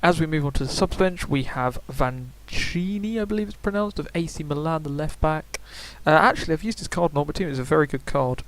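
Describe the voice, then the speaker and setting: A man's voice: a little high, brisk, few pauses, slight background noise, poor audio. Chatty young man rambling predictions. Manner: chatty, rambling, frequent fillers and self-corrections. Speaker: gaming YouTuber. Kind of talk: casual predictions commentary.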